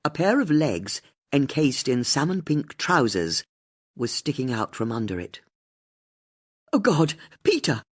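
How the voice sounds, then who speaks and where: A woman narrates a mystery audiobook. Her voice is husky rather than clean, and she reads the scripted prose with very varied intonation. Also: extremely low pitch, sing-song, unhurried.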